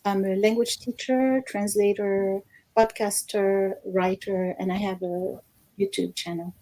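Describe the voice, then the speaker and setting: A woman, a language teacher, giving measured explanatory interview answers over a video call, with frequent ums. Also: mid-range voice, level tone, mellow, poor audio. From Algerian American, born in Morocco. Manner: measured, explanatory, many fillers.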